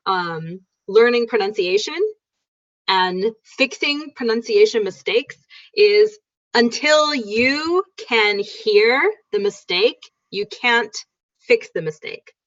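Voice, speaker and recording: A woman's voice: on the high side, animated, slow, fairly bright tone. A woman teaching in a casual chat, explaining things through examples. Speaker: English teacher. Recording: dull lo-fi sound.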